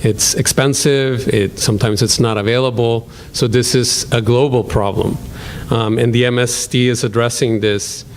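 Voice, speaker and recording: A man's voice: medium pitch, fairly even intonation, moderate pace, a little hiss or hum. A man from El Salvador with a Salvadoran Spanish accent, a professor giving a hesitant introduction to a guest speaker, with frequent ums and restarts. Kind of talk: lecture hall introduction.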